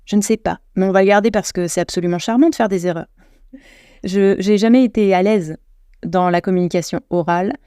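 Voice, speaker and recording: French woman host, solo podcast chat, self-correcting, warm mellow voice. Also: mid-range voice, a little fast, smooth, no echo, clean studio sound. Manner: chatty, self-deprecating, many restarts and self-corrections.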